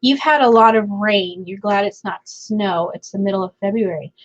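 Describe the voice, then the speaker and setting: A woman's voice: medium pitch, normal speed. Woman, scratchy fading voice, chatty livestream host, laughs often, slightly dull audio. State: scratchy, half-lost voice. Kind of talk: casual chat reading viewer comments. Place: home.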